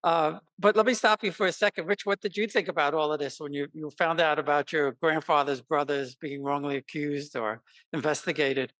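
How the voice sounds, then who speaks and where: A man hosting a podcast interview, easygoing and a little hesitant, with quick laughs. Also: on the high side, steady tone, a bit bright, dry recording. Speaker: podcast host. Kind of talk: casual interview chat.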